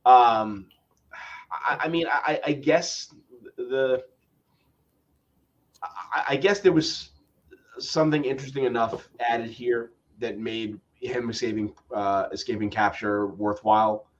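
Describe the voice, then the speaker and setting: A man's voice: medium pitch, slow, pauses often, dull audio. A man co-hosting a casual show review, talking hesitantly with many stammered restarts.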